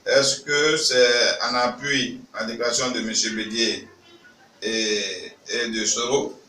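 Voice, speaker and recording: A man's voice: medium pitch, steady tone, slow, distant mic, slight background noise. A man from Ivory Coast speaking at a press conference, emphatic and raising his voice to stress points. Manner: emphatic, rising to shouts when stressing points. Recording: echoey room, voice from off-mic.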